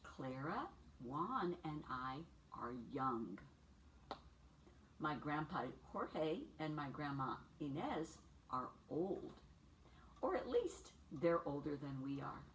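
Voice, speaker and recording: An older woman leads a children's storytime in a fairly low voice with very lively intonation. She speaks in simple, deliberate phrases and often turns to the young listeners with questions. The mic sounds a little distant. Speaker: children's storytime teacher reading a picture book aloud. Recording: distant mic with faint background noise.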